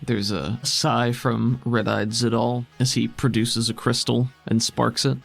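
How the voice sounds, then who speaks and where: A man's voice: mid-range voice, little pitch movement, a little slow, vocal fry, faint music behind. A man narrating a tabletop game as game master, in descriptive, dramatic storytelling.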